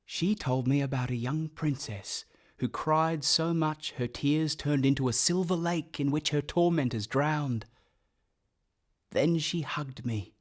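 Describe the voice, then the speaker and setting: A man's voice: fairly high pitch, measured pace, lightly acted. An actor playing a reflective man narrating memories of his boyhood in a radio play, wistful and literary. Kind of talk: scripted first-person narration.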